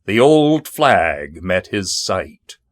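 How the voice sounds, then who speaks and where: Slow, low-voiced man reciting poetry. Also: sing-song, dry recording, studio quality. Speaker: poetry reader.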